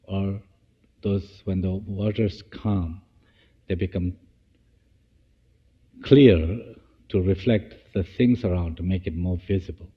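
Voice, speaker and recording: A man leading a guided meditation as a teacher, speaking in halting short phrases and restarting as he goes. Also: deep voice, fairly even intonation, breathy edge. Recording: dull, echoey hall-like sound.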